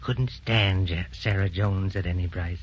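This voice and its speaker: A man's voice: low-pitched, fairly even intonation, a little slow, breathy edge. An actor playing a forgetful old grandfather who dotes on his wife, testy and rambling, in a sentimental radio drama. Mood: rambling, proud. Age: older. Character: feeble, forgetful old grandfather, doting husband. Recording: muffled old radio recording with music.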